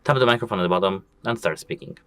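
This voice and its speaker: A man's voice: deep voice, animated, very fast, pushed and bright, no echo. A man hosting a casual phone tutorial, explaining each step and repeating "as you can see". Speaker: tech tutorial host. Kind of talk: casual phone how-to walkthrough.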